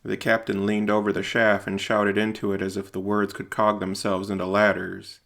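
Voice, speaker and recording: A man narrating a scripted audio drama in a measured, deliberate, literary way. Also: lowish voice, monotone, creaky, fairly bright tone. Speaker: narrator and writer-performer.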